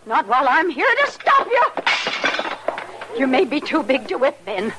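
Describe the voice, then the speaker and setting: A woman's voice: high-pitched, big pitch swings, measured pace. A woman playing a stern, strong-willed frontier mother in a 1950s radio drama, firm and scolding. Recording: old radio drama with crowd noise.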